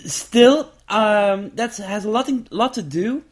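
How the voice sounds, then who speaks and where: A man with a Dutch accent, a researcher and author answering interview questions in a thoughtful, halting way with frequent restarts. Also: high-pitched. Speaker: education researcher and book author.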